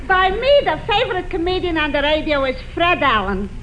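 A woman's voice: extremely high pitch, very animated, brisk, a bit bright, dull audio, full character voice. An actress playing an opinionated, quirky married woman with a Yiddish accent and emphatic, quaintly formal phrasing in 1940s radio comedy. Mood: dry, emphatic. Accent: Yiddish-inflected English. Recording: faintly noisy vintage radio recording.

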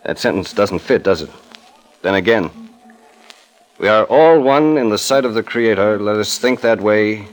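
An actor playing a terse, world-weary police detective in a 1940s radio crime drama, with clipped, understated delivery. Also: male voice, fairly low pitch, normal speed, pauses often, natural voice, poor audio. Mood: reflective, subdued.

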